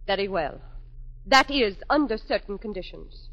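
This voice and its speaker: An actress playing a haughty, vengeful opera prima donna in a theatrical 1940s radio drama style. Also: female voice, medium pitch, animated, moderate pace, highs cut off. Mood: coldly scheming.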